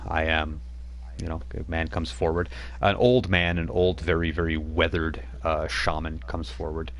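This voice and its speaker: A man's voice: very deep voice, flat delivery, normal speed, slight background noise. A man narrating a tabletop game as game master, rambling with many fillers and restarts.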